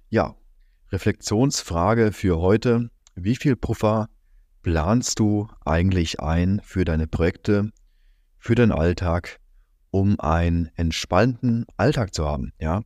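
A man hosting a reflective solo podcast, speaking calmly with many fillers, his voice slightly strained from being a little under the weather. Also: deep voice, no room sound, studio quality. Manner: calm, musing, many fillers and "ja". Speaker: podcast host.

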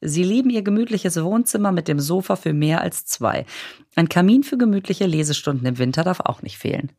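A woman's voice: on the low side, expressive, brisk, a little mellow. A woman in casual chat, reading horoscope texts aloud and laughing at her own slips.

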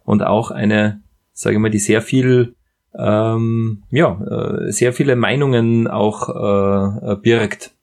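A man's voice: on the low side, steady tone, takes it slowly, vocal fry, darkish tone. A man with a Bavarian-Austrian accent co-hosting a podcast, chatting casually in folksy, repetitive phrasing. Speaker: podcast co-host. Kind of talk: casual chat.

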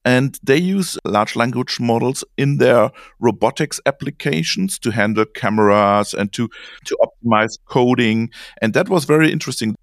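Male co-host, gravelly, brief and upbeat, dry recording. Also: medium pitch, animated, moderate pace, dry recording. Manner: short affirmations, repeats "very interesting". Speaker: podcast co-host. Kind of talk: casual chat.

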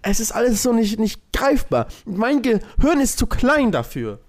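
A man co-hosting a casual comedic podcast, explaining his musings in a loose, halting way. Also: extremely high pitch, huge pitch swings. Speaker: podcast co-host.

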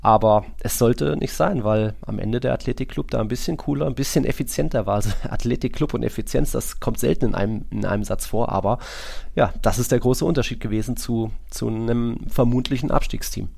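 A man co-hosting a football podcast, talking casually in a rambling, colloquial and animated way. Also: medium pitch, fairly quick, gravelly. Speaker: podcast host.

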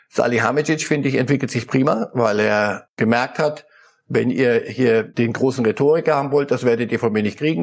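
A middle-aged man talking football as a pundit on a talk show, thoughtful and halting, often repeating words as he searches for them. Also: medium pitch, a little mellow, breathy edge. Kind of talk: studio talk show discussion.

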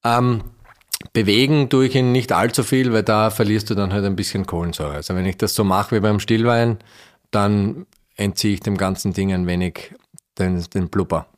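A man with a very low voice and an Austrian accent. He talks casually as a podcast guest, choosing his words with some hesitation and thought. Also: steady tone, normal speed. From Styria, Austria. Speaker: podcast guest, winery managing director. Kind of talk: casual interview conversation.

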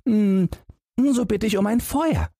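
Simple fairytale boy, super high husky voice, guileless and kinda wistful. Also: lively intonation, unhurried, mellow, dry recording, studio quality, heavily acted. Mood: naive, earnest. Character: naive, simple young lad longing to shudder.

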